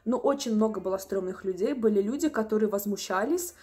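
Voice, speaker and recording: A young woman in her twenties telling a story to the camera from a small room at home, animated and indignant. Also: mid-range voice, brisk. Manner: animated, indignant, acts out customers' voices. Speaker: vlogger.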